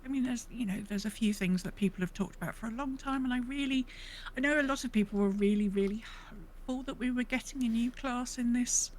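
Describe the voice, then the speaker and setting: A woman's voice: a little high, fast talker, slight background noise, low-quality recording. A woman chatting casually as a podcast co-host, rambling and thinking aloud. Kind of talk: casual gaming podcast chat.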